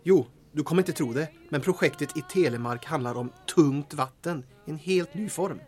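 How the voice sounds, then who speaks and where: A man's voice: on the high side, faint music behind, lightly acted. An actor playing a cheeky, chatty male intelligence informant in a radio drama, speaking in wry, teasing banter.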